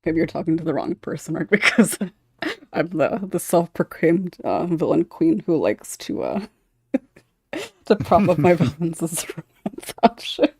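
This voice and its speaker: A woman's voice: sits low, lively intonation, unhurried, a little mellow, breathy edge, dry recording. A woman talking casually in a panel discussion, thinking aloud and hedging as she goes. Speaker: podcast panelist.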